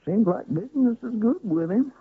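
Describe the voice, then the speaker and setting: An actor in a radio drama playing an easygoing small-town sheriff who talks in a slow folksy drawl, on a narrow lo-fi old radio recording. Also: male voice, very high-pitched, animated, breathy edge, heavily acted. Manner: slow folksy drawl, plain country talk. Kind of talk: 1970s radio drama acting.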